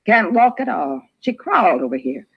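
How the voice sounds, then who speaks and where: A husky, creaky-voiced neighbor woman gives her witness account in homespun, clipped phrases. She is rattled and talkative, on a dull vintage radio crime drama recording. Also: mid-range voice, very animated, moderate pace, exaggerated voice. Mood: shocked, indignant. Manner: plain folksy speech in clipped phrases. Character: shaken, talkative older female neighbor giving a witness statement. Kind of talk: old-time radio crime drama acting.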